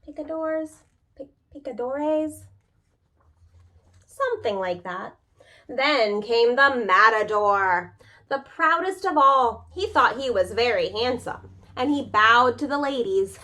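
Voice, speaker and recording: A woman's voice: on the high side, expressive, slow pace, bright, forceful tone. A woman reading a children's picture book aloud like a preschool teacher, expressively, with casual, chatty asides and questions to young listeners. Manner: informal, chatty explanations.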